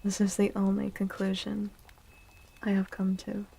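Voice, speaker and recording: A woman speaking in a soft ASMR style as a streamer, breathy and often near a whisper, gentle and playfully theatrical with drawn-out words. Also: mid-range voice, monotone. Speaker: streamer hosting a tarot and astrology stream. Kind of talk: soft-spoken ASMR, casual live stream chat.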